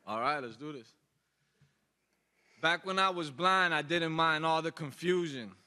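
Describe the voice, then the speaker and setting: A young man speaks in a bright, forceful voice pitched a little high. He delivers like a spoken-word poet, rhythmic and emphatic. His voice rings out through a microphone with the long reverb of an auditorium. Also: measured pace. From Boston, United States. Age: young adult. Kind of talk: live spoken-word poetry performance. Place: large auditorium stage, indoors. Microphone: microphone on a stand.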